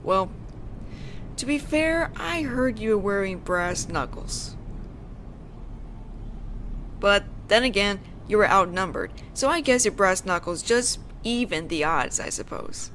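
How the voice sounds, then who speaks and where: A woman acting as a teenage tough girl from an audio drama, talking casually and gently to one listener. Her pitch moves in lively swings as she teases, then pauses thoughtfully. There is clear ambient noise behind her. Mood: teasing, impressed. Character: teenage delinquent schoolgirl, teasing but softly caring tough girl. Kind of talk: slow intimate audio roleplay addressed to the listener.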